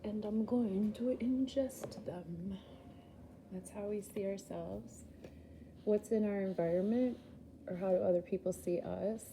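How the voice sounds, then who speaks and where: A woman reading tarot in an unhurried, easygoing way, her voice very mellow and a little low. She rambles and laughs at herself, sounding slightly groggy after a bad night's sleep. Faint noise sits behind her. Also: creaky, rough recording. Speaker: tarot reader.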